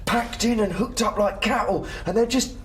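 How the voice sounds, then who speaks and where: An actor with a British accent playing a shaken, stubbornly principled survivor of an alien invasion, blunt and emotive in an audio drama. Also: male voice, high-pitched, normal speed, echoey room, close to an everyday voice. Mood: appalled. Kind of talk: naturalistic audio drama acting.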